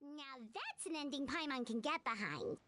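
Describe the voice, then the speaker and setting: A woman voicing a tiny, excitable, childlike fairy companion in a video game, speaking emotively. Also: sits high, normal speed, faint music behind. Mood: supportive, curious. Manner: refers to self in third person, emotive.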